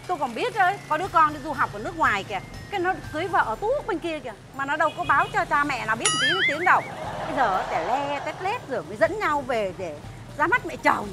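An actress playing a blunt, gossipy older neighbour in a comedic drama, needling and insistent, with a Southern Vietnamese accent. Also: female voice, sits high, sing-song, fast talker, over music. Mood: smug, gossipy.

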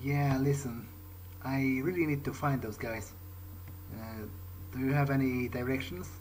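A man's voice: mid-range voice, steady tone, a little slow, darkish tone, slight background noise. A man roleplaying in character as he plays a video game, thinking aloud in a hesitant, musing way. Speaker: gamer playing in character. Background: prominent game music.

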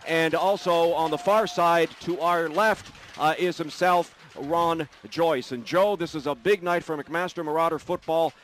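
Guy doing upbeat pregame radio calls, pretty high voice, slight hiss. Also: fairly even intonation, fairly bright tone. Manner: brisk, upbeat broadcaster delivery. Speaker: radio sportscaster. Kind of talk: pregame play-by-play commentary.

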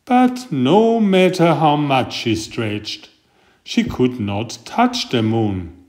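A man reading a children's picture book aloud in a deliberate, expressive storytelling manner. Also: medium pitch. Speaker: storybook reader and author.

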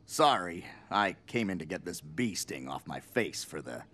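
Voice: low-pitched, very animated, moderate pace, background noise. A performer voicing a grumbling, apologetic jungle animal in a cartoon. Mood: sheepish.

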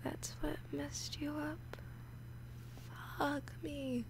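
A woman playing a nervous, awkward, obsessively lovesick young woman in an intimate audio roleplay, halting and often slipping into whispers. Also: high voice, flat delivery, gravelly, background noise, heavily acted. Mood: confused, hesitant. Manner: halting, hesitant, breaking into whispers.

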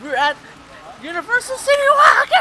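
A young man joking loudly with friends while filming a casual vlog outdoors in a city plaza on a handheld camera. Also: very high-pitched. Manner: loud, joking, excitable teasing. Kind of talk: rowdy handheld vlog banter. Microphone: handheld camera mic. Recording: noisy street, distant crowd.

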